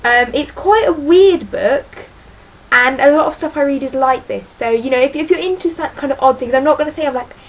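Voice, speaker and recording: A woman's voice: sits high, brisk, poor audio. A teenage girl chatting casually about books in a vlog, rambling and hesitant, full of ums and sort-ofs, self-deprecating. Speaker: book vlogger.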